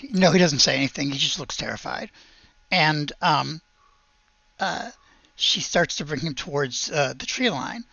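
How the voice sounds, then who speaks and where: Creaky-voiced woman running a tabletop game. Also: low voice, animated, a little slow, a bit bright, no echo. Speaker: game master. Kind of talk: casual improvised narration of a tabletop game. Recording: dull, lo-fi dry audio.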